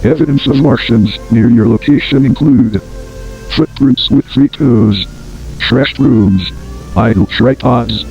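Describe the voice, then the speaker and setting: Dull old tape sound, man playing a grave male emergency announcer, mid-pitched, formal, measured, deadpan, analog horror narration. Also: natural voice.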